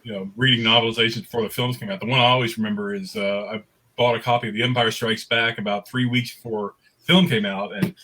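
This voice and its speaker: A man's voice: lowish voice, fairly even intonation, fast, bright, forceful tone, rough recording. A middle-aged man telling anecdotes casually as a panelist in an online discussion. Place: remote online panel recorded at home.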